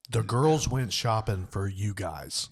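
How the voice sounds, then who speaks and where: Deep-voiced laid-back Southern man. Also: lively intonation, slow pace. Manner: laid-back, drawling, self-described soothing voice. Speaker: podcast co-host. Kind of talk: casual chat over bourbon.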